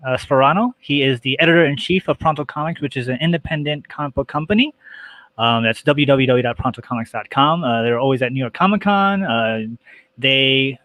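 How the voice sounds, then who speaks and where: A middle-aged man talking casually as an interview guest, explaining details in a halting, list-like way. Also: mid-range voice, fairly bright tone, no echo, low-quality recording. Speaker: guest, radio show host.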